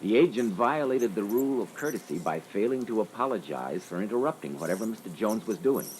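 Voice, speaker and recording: Lowish, expressive male panelist. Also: brisk. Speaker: sales training panelist. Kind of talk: scripted instructional critique. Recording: faintly noisy old reel-to-reel training record.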